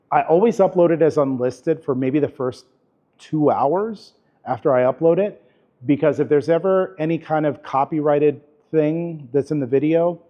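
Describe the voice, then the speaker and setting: A man teaches a class to a room of students, casual and conversational, dropping ums as he goes. His voice is mellow and unforced. The recording has a dull, lo-fi sound. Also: fairly high pitch. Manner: relaxed, chatty, with frequent fillers. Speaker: workshop instructor. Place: indoor workshop in a camera store.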